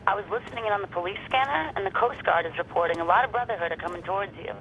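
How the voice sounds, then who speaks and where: Gang lieutenant, fast pace, alert, concerned, phone or radio filter, faint noise, video game. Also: female voice, mid-range voice, muffled recording. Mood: concerned, urgent. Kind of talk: video game cutscene acting.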